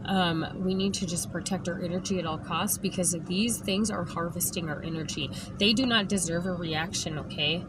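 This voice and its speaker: A woman vlogger with a hoarse voice, talking emphatically straight to the camera and often tagging lines with "okay?". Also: lowish voice, normal speed, few pauses. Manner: emphatic, keeps adding "okay?". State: hoarse, lost voice. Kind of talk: direct-to-camera rant. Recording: background noise.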